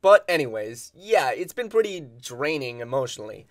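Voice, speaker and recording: Rambling rich haunted homeowner, super animated, exasperated comedy sketch, close dry sound. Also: male voice, mid-range voice, moderate pace, no room sound. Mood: rambling, flippant. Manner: dramatic, rambling complaints. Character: neurotic wealthy haunted mansion owner, chatty and exasperated. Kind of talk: audio comedy sketch acting.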